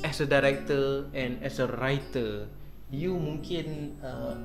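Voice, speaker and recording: A man in his thirties from Malaysia hosting a casual interview, chatty and quick to finish his guest's sentences, on a desk mic in a small studio. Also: medium pitch, reverberant, music in the background. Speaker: interview host. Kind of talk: casual interview chat mixing Malay and English. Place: small studio set with poster backdrop. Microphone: desk mic on tripod.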